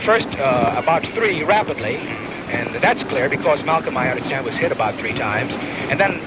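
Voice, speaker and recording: A man giving a halting eyewitness account in a news interview, searching for words, on old newsreel footage. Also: mid-range voice, expressive, heavy background noise.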